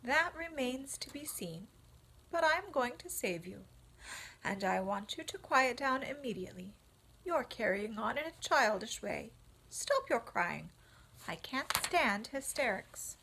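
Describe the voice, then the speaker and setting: A wise, calm female spider from a storybook who takes charge with brisk firmness, as a woman reading aloud puts her on. Her voice sits slightly high with lively intonation, and she speaks unhurriedly. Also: husky, exaggerated voice, poor audio. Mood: firm. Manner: brisk, firm.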